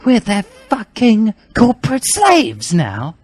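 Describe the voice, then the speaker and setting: A man's voice: high voice, huge pitch swings, husky, voice pushed up, heavily acted. A man voicing a furious, self-righteous teenage boy revolutionary who rants indignantly, in a comic dramatic reading. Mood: outraged. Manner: ranting, indignant, drawn-out stresses. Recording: loud music behind the voice.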